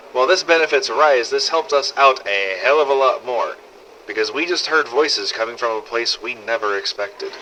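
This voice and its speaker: Cynical male survivor played by an actor, mid-pitched and forceful, sardonic scripted audio-log storytelling, slightly noisy cassette-recorder effect. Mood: grim, subdued. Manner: sweary, sardonic, world-weary storytelling. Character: gruff, foul-mouthed zombie-apocalypse survivor, wry and cynical. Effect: old cassette recorder filter. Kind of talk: scripted in-character audio diary narration. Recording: old cassette tape with faint noise.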